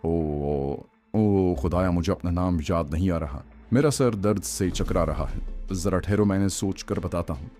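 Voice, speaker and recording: A man's voice: low voice, measured pace, few pauses, warm tone. A man voicing a tormented captive in an audio story, tearful, helpless and begging for mercy. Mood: flustered, feigned confusion. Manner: tearful, helpless, whimpering delivery. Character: pleading tormented male captive feigning innocence. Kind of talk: audiobook character acting. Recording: faint music bed under voice.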